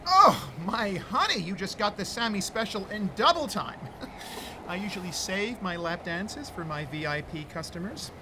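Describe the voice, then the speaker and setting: Casting: male actor as shameless, campy middle-aged ghost hunter, highly animated delivery, noisy background. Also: a little slow. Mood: flirty, playful. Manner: campy, dramatic, airy, grandiloquent. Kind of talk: audio screenplay acting.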